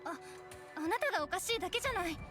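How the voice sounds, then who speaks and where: A woman voicing a rigid, fearful young female heretic in a visual novel, halting and insistent. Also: very high-pitched, lively intonation. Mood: flustered. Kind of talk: Japanese visual novel voice acting. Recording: music behind the voice.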